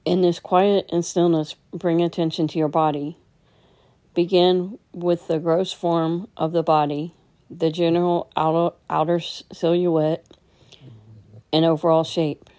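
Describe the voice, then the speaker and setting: A woman leading a guided meditation as a teacher, speaking in a gentle, unhurried, instructing way. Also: lowish voice, level tone.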